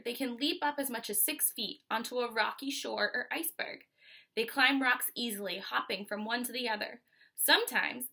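A young American woman with a fairly high, bright voice reads a picture book to children. She is instructive, breaking off from the text to explain things and pose questions to her listeners. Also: creaky, slightly rough. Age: 20s. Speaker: teacher reading aloud. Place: small furnished room at home, indoors.

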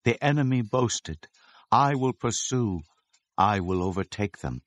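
Slow, grave, low-voiced Israelite man. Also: animated, no echo. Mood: grave exaltation. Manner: grave, declamatory. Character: reverent Israelite man proclaiming God's victory. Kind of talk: dramatized scripture reading.

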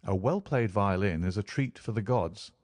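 A man's voice: fairly low pitch, animated, normal speed, a little mellow, no echo, clean studio sound, natural voice. A man voicing an affable, curious former army doctor, frank and inquisitive, in audiobook dialogue. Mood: frank, amiable.

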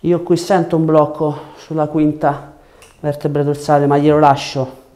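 Mellow young man giving chiropractic instructions. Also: fairly high pitch, little pitch movement, normal speed. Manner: reassuring, instructive, short directions. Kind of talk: hands-on treatment talk, explaining to patient and viewers. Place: small treatment room, indoors. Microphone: lapel mic.

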